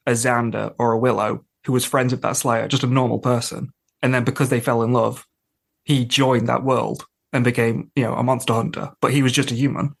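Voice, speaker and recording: Guy on a podcast breaking down an episode, analytical, middling pitch, goes off on little asides. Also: gravelly. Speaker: podcast panelist. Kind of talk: casual episode discussion.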